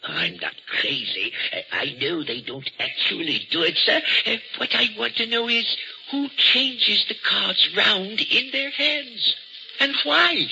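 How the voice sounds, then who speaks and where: An actor playing a nervous, deferential wax museum attendant who tells eerie tales hesitantly, in old-time radio drama style. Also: male voice, high-pitched, sing-song, slow pace, creaky, sharp tone, a little hiss or hum, heavily acted. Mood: uneasy, earnest. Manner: hesitant, deferential, ominous storyteller. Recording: dull old radio recording with music.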